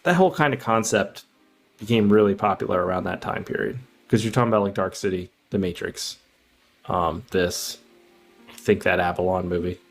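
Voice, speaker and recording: A man with a fairly low-pitched voice talks casually as a podcast co-host. He meanders through his opinions in an informal manner, with faint music playing behind him. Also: moderate pace. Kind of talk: casual film discussion.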